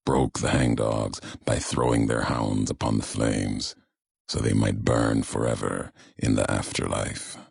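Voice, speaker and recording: An actor playing a brooding, fatalistic warrior courier in a video game, speaking solemnly in clipped, cryptic phrases with a very deep gravelly voice. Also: male voice, very echoey, heavily acted. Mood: grim warning. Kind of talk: video game character dialogue, slow cryptic monologue.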